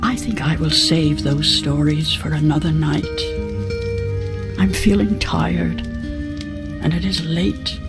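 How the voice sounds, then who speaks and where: An old, wise woman tells her tale slowly and gently, lingering over her words. Clear music plays beneath her voice. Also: low-pitched, fairly even intonation. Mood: gentle. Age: older. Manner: gentle, slow storytelling. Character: elderly wise woman storyteller, frail legs. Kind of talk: video game narration.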